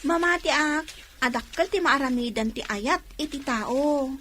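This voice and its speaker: A cheerful young woman in a radio drama. Her voice is high and bright, and she teases with a playful manner. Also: unhurried, a little hiss or hum.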